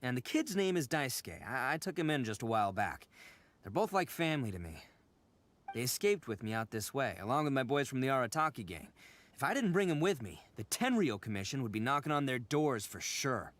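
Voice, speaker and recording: Brash, animated young oni gang boss. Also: male voice, medium pitch, fairly quick. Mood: sincere. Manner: swaggering, theatrical, colloquial.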